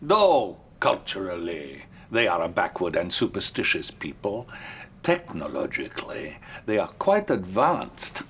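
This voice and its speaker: A man playing a grandiose, smoothly flattering Soviet premier with a Russian accent in a video game cutscene. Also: low-pitched, slight background noise, heavily acted. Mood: pompous, pleased. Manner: slow, pompous oratory. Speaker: video game character actor. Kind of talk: dramatic game cutscene acting.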